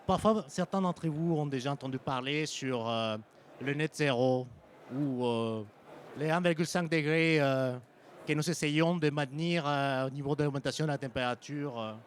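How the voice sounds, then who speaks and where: A man with a Mexican Spanish accent giving a conference presentation as a sales engineer, pausing often with ums and restarting phrases. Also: fairly high pitch, a little hiss or hum. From French-Mexican. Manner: hesitant, frequent ums and restarts. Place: conference hall.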